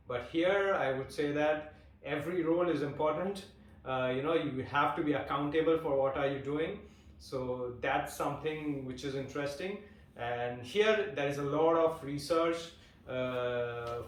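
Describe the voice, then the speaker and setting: A man in his twenties from India, chatting casually as an interviewee, relaxed and hesitant with lots of fillers and restarts. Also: mid-range voice, distant mic. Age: 20s. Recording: echoey room, voice off-mic.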